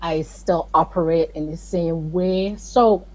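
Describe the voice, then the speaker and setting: Husky Barbadian woman, earnest. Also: on the low side, fairly even intonation, takes it slowly, darkish tone, poor audio. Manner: earnest, emphatic, pleading in places. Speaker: guest. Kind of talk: video call interview.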